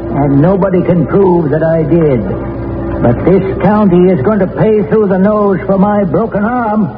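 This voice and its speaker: A surly backwoods murder suspect, high voice, defiant, old tinny radio. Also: male voice, a little slow. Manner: folksy ungrammatical speech, belligerent. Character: defiant, surly, uneducated male murder defendant. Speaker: actor in a radio crime drama. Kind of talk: 1940s radio acting. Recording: tinny 1940s radio recording with music.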